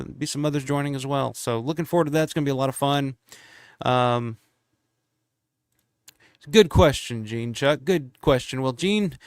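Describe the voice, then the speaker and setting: A man's voice: mid-range voice, smooth, no echo, studio quality. A congested man, starting to lose his voice, hosting a casual live stream and rambling with lots of fillers and restarts.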